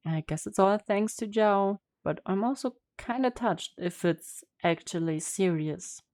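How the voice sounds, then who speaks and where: A woman's voice: mid-range voice, a little slow, a little mellow. A woman streamer giving a casual game reaction, chatting and reading game text aloud. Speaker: gaming streamer. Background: faint game music.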